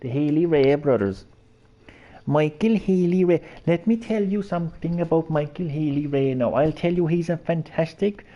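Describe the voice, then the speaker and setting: A man's voice: on the high side, normal speed, warm tone, highs cut off. A man in his thirties with a Cork Irish accent, chatting to his viewers as he streams a game. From Ireland. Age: 30s. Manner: chatty, jokey, talks to chat. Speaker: live streamer. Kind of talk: live gameplay commentary.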